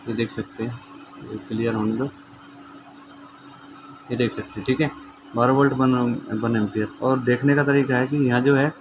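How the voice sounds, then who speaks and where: A man gives an informal hands-on repair demonstration, explaining step by step and often checking in with viewers. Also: mid-range voice. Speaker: repair tutorial presenter. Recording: clearly noisy amateur recording with ambience.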